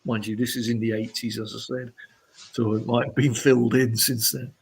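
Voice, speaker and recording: A middle-aged or older man, a musician chatting casually and haltingly as an interview guest. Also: medium pitch, little pitch movement, gravelly, husky. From Sheffield, England.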